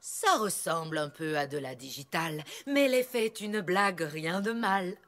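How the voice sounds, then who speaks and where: An actress voicing a mysterious, dignified zebra herbalist in a French cartoon dub, speaking formally and theatrically. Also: female voice, on the low side, expressive, a bit bright. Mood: calm, explaining. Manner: formal, measured, theatrical, cryptic. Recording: music behind the voice.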